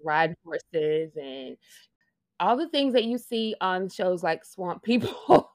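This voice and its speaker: A woman's voice: fairly low pitch, lively intonation, slow pace, darkish tone, no room sound, clean studio sound. A woman from Louisiana with a Louisiana Southern accent, a guest in a casual interview, talking earnestly and reflectively, with frequent laughs and restarted phrases. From Louisiana, USA. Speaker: interview guest, fashion project manager.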